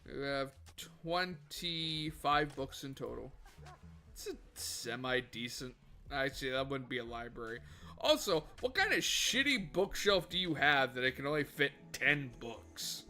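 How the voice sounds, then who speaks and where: Bright-voiced man chatting on a game stream. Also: medium pitch, sing-song, measured pace. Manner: chatty, rambling, swears freely. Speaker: livestreamer. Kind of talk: casual gaming livestream chat. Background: faint background music.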